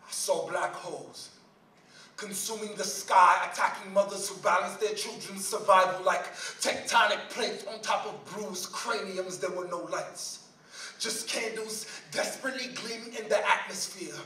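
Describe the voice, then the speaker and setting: Male spoken word poet, high bright voice, dramatic delivery, distant live-room recording. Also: fairly even intonation, moderate pace, echoey room. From Haiti. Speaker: slam poet. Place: live school performance space.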